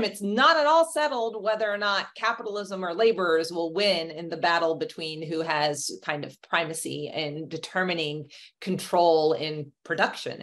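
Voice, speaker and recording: A woman in her forties with a slightly low voice speaks on a video call. She explains like a historian on a panel, step by step, often seeking agreement with short tag questions. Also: a bit bright. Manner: checks in with "right?". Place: indoor room, video call. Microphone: video call computer mic.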